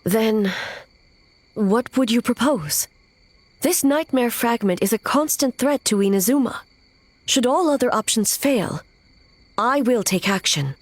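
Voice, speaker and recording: A woman playing a solemn, resolute warrior ruler in a video game, speaking in a measured, formal, grave way. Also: fairly high pitch, lively intonation, dry recording. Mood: firm, resolute. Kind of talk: video game cutscene acting.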